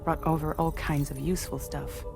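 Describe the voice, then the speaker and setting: Sci-fi game crew briefer, acted: mid-pitched, calm, conversational, music under the voice. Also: normal speed, natural voice. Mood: informative. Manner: matter-of-fact, conversational. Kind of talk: scripted game cutscene dialogue. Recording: clear music behind.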